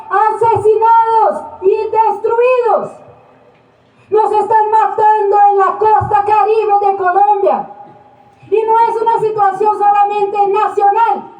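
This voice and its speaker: Very shrill indignant donkey activist. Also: female voice, flat delivery, takes it slowly, darkish tone. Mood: indignant, solemn. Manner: declamatory, solemn, slogan-like protest oratory. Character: indignant female donkey activist making a public denunciation. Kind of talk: theatrical protest speech. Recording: boxy, echoey stage recording.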